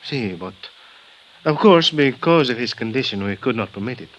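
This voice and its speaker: An actor playing a grave, formal Mexican hospital doctor, speaking in a measured, halting way in a 1950s radio drama. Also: male voice, mid-range voice, expressive, fairly bright tone, lo-fi sound, close to an everyday voice. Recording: old radio broadcast with faint hiss.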